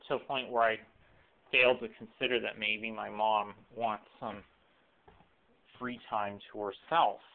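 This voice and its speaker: A man in his late twenties giving a rambling, personal monologue, halting and often rephrasing himself, recorded on a cell phone. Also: on the low side, clean tone. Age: late 20s. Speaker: video blogger. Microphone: cell phone camera microphone. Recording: weak, muffled lo-fi cell phone audio.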